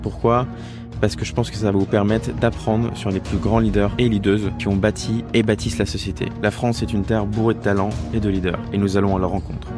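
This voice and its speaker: A man's voice: on the low side, level tone, a little fast, over music. A man hosting an interview podcast, delivering an earnest, emphatic introduction and questions. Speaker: podcast host. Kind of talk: scripted intro, then interview.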